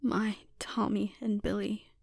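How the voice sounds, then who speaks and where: A woman voicing a calmly dominant, taunting sorceress villain in an intimate ASMR roleplay, speaking deliberately and lapsing into breathy whispers. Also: medium pitch, no room sound, clean studio sound. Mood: intense, resolute.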